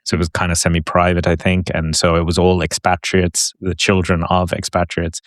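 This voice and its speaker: Low-voiced man with a British accent. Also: no echo, clean studio sound. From Hong Kong. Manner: thoughtful, halting, animated at times. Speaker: podcast co-host. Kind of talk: casual conversation.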